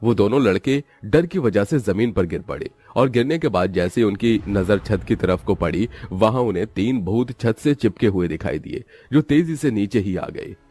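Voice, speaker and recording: A man's voice: on the low side, expressive, normal speed, hardly any pauses, warm tone, soft background music. A man narrating a scripted story in a dramatic storytelling style.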